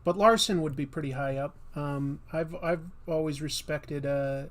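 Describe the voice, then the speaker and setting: A man's voice: on the high side, normal speed. A man hosting a casual comics livestream while he draws, chatty and rambling, with a slightly nasal voice. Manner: rambling, chatty, with many fillers and restarts. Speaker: livestream host and comic artist.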